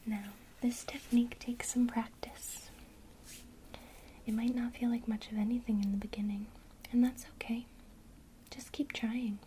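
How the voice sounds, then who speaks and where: A woman teaching a witchcraft lesson in a soft, unvoiced ASMR whisper, guiding the listener gently and speaking straight to them. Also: on the high side, flat delivery, a little hiss or hum, low-quality recording. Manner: slow, soothing, intimate, addresses the listener directly. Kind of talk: whispered ASMR guided visualization.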